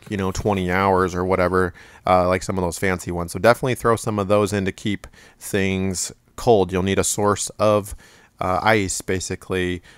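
A man giving a relaxed, rambling product review at home, with plenty of fillers and restarts. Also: on the low side, no echo.

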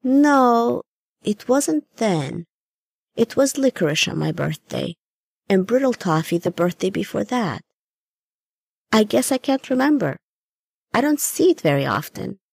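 A woman voicing a gentle, confused old grandmother ghost in a story, soft and hesitant. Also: fairly high pitch, very animated, measured pace, vocal fry, long pauses, husky, no room sound, clean studio sound, voice pushed up, heavily acted. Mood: wistful. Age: older.

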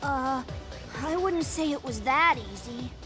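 High-voiced brainy cartoon boy sidekick. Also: animated, unhurried. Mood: doubtful. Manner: earnest, mildly doubting. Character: brainy, skeptical young boy fox sidekick. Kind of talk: animated game cutscene acting. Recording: music behind.

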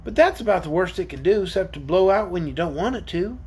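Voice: mid-range voice, lively intonation, fast talker, darkish tone, a little hiss or hum, close to an everyday voice. A woman voicing a plucky, practical little girl in a storybook, direct and plain-spoken.

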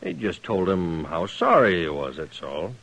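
Husky, folksy western barkeeper. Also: male voice, low-pitched, sing-song, unhurried, fairly bright tone, heavily acted. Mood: evasive. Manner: folksy, hedging drawl. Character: evasive, folksy male saloon barkeeper in old West. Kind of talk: 1950s western radio acting.

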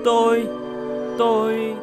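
An actor voicing a naive, scrappy young vagrant lad in a folk-tale cartoon, guileless and prone to exclaim. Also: male voice, extremely high pitch, completely flat delivery, slow pace, music in the background. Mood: apologetic.

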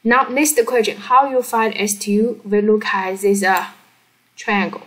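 A woman who is a non-native English speaker explains a worked problem step by step as a teacher, halting and often checking that the learner follows. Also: mid-range voice, a bit bright, rough recording. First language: not English. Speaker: physics teacher.

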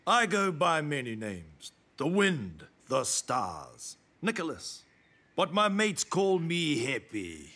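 Smug, animated cartoon stallion. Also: male voice, fairly high pitch, slow, heavily acted. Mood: cool, mysterious. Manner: laid-back, self-important, theatrical. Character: cool, smug, self-styled wild stallion. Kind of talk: preschool cartoon voice acting.

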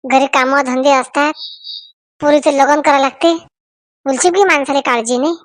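A woman's voice: high voice, steady tone, moderate pace, no echo, studio quality, close to an everyday voice. A woman voicing a nagging, insistent village mother in a family comedy cartoon. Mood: scolding, exasperated. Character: bossy, nagging village mother arranging her daughter's wedding.

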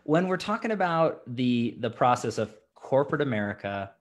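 A man's voice: medium pitch, sing-song, normal speed. Effusive young male podcast host. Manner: upbeat, effusive, repeats words. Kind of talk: enthusiastic interview hosting. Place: home office, indoors. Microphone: studio mic with headset, video call.

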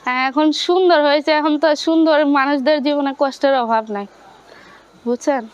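A woman's voice: sits high, a little fast, dull audio. A young woman with a Bangladeshi accent answering informally in an interview about her own life, often checking that the listener follows. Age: young adult. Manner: repeatedly checks listener understands. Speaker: interview guest, domestic worker.

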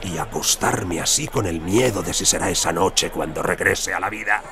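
An actor playing a proud, demanding American senator and collector with a pompous, theatrical manner in an audio drama. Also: male voice, deep voice, normal speed, over music, close to an everyday voice. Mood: eager, boastful.

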